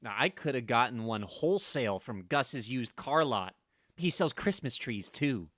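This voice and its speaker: A man plays a brash teenage son from a vintage family radio comedy. He is eager as a young salesman, with a lively voice that jumps up and down. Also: medium pitch, moderate pace, no room sound, clean studio sound. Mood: matter-of-fact. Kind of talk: old-time radio comedy acting.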